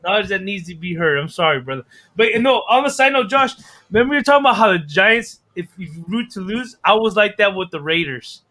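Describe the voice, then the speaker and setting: A man with a high, bright voice co-hosts a podcast. He chats in an easygoing, slangy way and often repeats phrases as he goes. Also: animated, quick pace. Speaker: podcast co-host. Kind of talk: casual chat.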